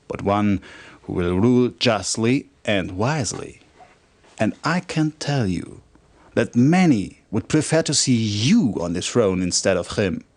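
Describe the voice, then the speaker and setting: An actor playing a fervent, conspiratorial military officer in a video game cutscene, speaking in a formal, emphatic, declamatory way. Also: male voice, medium pitch, darkish tone. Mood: earnest, conspiratorial. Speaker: military officer briefing a commander.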